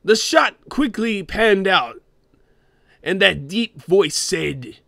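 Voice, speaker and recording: A man voicing a greedy, grumpy cartoon crab boss who reads a horror story in a theatrical, expressive way. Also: high voice, slow, creaky, no room sound, studio quality, heavily acted. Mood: dramatic, ominous. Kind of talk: dramatic creepypasta reading.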